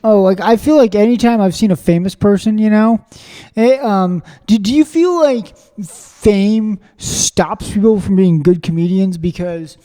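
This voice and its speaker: A man from Springfield, Missouri, hosting a podcast interview, talking in an excitable, rambling way full of fillers and restarts. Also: high voice, a little slow, long reverb tail. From Springfield, Missouri, USA. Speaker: podcast host. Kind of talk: casual conversational interview.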